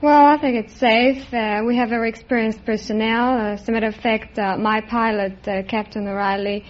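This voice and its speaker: A woman's voice: on the high side, fairly even intonation, normal speed. Young woman from Israel, muffled vintage recording. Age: 20s. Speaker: quiz show contestant, airline hostess. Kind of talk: answering interview questions. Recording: dull vintage radio recording.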